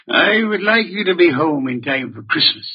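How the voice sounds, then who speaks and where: An actor playing an imperious, fussy boss, terse and droll, in old radio drama style. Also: male voice, on the high side, noisy background, exaggerated voice. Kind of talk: 1940s-50s American radio drama acting. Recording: muffled old-time radio recording.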